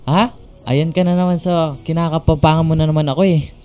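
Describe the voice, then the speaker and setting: Man acting a cheeky flirt, slightly high voice. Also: steady tone, a little fast, warm tone, smooth, dry recording, natural voice. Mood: playful. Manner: teasing, cocky, playful. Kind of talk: Filipino radio drama acting.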